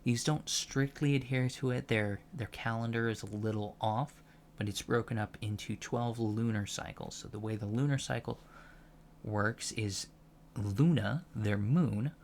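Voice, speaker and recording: A soft-spoken man in an ASMR roleplay as a professor, explaining things haltingly with pedantic asides. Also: mid-range voice, slight background noise. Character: patient alien professor lecturing on humans, fascinated by them. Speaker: ASMR roleplay performer playing an alien college lecturer.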